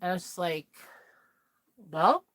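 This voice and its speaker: A woman's voice: lowish voice, lively intonation, measured pace. A woman in her thirties chatting candidly with viewers on a livestream, telling a personal story in a rambling way full of fillers. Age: 30s. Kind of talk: casual chat with viewers.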